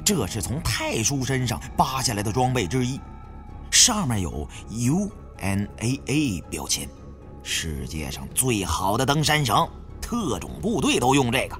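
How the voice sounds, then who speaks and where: A man's voice: mid-range voice, sing-song, unhurried. A man reading a novel aloud as a dramatised audiobook narrator. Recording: music behind the reading.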